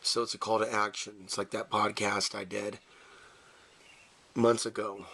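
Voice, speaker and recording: A man giving unscripted video commentary with halting, hesitant phrasing. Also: lowish voice, level tone, vocal fry, bright, forceful tone.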